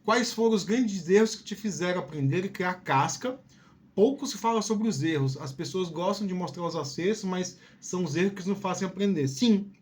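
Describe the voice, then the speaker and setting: Higher-pitched man chatting. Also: normal speed. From Piauí, Brazil. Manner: informal, digressive, repeats words and checks in often. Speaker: video host. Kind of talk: casual career-advice chat.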